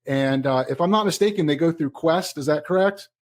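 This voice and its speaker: A man's voice: fairly high pitch, a little fast. A man hosting a podcast, casual and upbeat, asking his guest questions. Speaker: podcast host.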